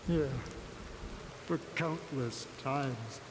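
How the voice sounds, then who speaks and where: An actor playing a weary, regretful man at the end of his life in a video game, talking in a halting, wistful way. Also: mid-range voice, background noise. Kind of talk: dramatic video game voice acting.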